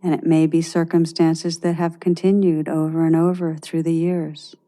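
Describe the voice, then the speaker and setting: A woman leading a guided meditation in a gentle, measured way, leaving long pauses between phrases. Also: deep voice, level tone.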